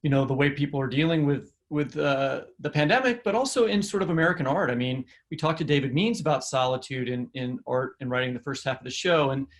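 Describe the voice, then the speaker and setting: A man co-hosting a literary podcast, chatting in a halting way and repeating words as he forms his thoughts. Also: medium pitch. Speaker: podcast co-host. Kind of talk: conversational literary interview.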